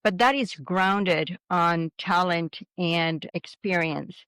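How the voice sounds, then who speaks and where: A woman's voice: on the low side, slow pace, a bit bright, clear, resonant tone, no echo. A woman in her sixties from Venezuela, a guest in an interview, speaking reflectively with frequent restarts. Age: 60s. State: subdued, grieving a recent loss. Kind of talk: casual interview talk.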